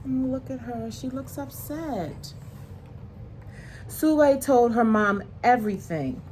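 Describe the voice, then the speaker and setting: Female children's read-aloud host, slow and deliberate, expressive, simple asides, slightly noisy recording. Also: a little high. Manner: slow, deliberate, explains pictures in simple words. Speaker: storyteller reading a picture book aloud to children.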